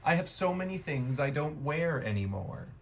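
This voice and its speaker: A man voicing a sweet, excitable, kind-hearted young female pig in a storybook, earnest and wide-eyed. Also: fairly high pitch, moderate pace, low-quality recording. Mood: cheerful.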